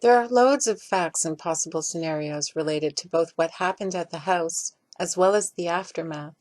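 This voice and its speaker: A woman's voice: lowish voice, moderate pace, darkish tone, dry recording, soft background music. A woman reading a scripted, critical commentary as a voice-over. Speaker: video commentator. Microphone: voice-over recorded in separate snippets.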